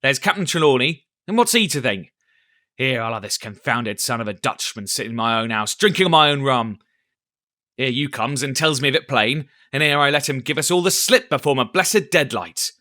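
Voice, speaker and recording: Brief: a man doing a cunning old one-legged pirate, loud and bright, salty sailor talk. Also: medium pitch, lively intonation, moderate pace, no echo, studio quality. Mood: jovial.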